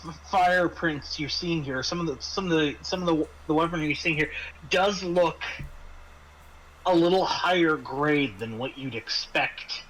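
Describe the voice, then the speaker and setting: A man's voice: on the high side, moderate pace, fairly bright tone, poor audio. A man running a tabletop roleplaying game as game master, narrating off the cuff and often repeating and restarting his phrases. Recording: faint background noise.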